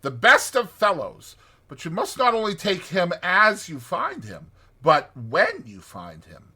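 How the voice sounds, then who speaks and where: A man's voice: sits high, wildly swooping pitch, a little slow, pushed and bright, slightly rough, voice pushed up, exaggerated voice. A man voicing a hearty, evasive Water Rat from a storybook, speaking in an emphatic, insistent way. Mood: breezy, assuring.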